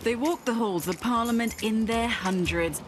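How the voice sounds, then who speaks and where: A woman reading scripted narration for a television news feature in a brisk, lightly playful way. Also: a little high. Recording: voice-over with clear music bed.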